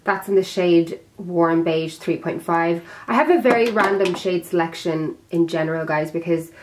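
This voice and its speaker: Chatty young woman, slightly raspy. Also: fairly low pitch, moderate pace, darkish tone, slight background noise, low-quality recording. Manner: chatty, self-deprecating, talks while handling products. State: slightly raspy from being sick. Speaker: beauty vlogger. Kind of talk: casual chat to camera.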